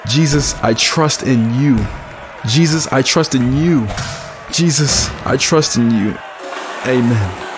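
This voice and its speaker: A man's voice: medium pitch, slow pace, close to an everyday voice. Man acting earnest guilt-ridden devout man, music behind. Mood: pleading, anguished. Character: Jordan, guilt-ridden devout man working at a deadly fight ring. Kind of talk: audio drama acting with inner monologue and prayer.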